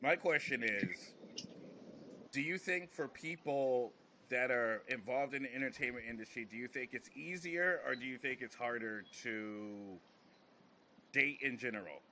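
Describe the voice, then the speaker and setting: A man with a bright, forward voice hosts a loose comedy podcast. He rambles and jokes in casual slang. There is faint noise behind him. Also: medium pitch, measured pace.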